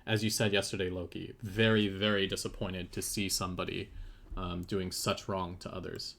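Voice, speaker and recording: A man's voice: low-pitched, level tone, normal speed, creaky, fairly bright tone, slight background noise. A man who works as a 3D modeller, explaining things in a thoughtful, hesitant way on a casual live stream. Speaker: game developer and 3D modeller.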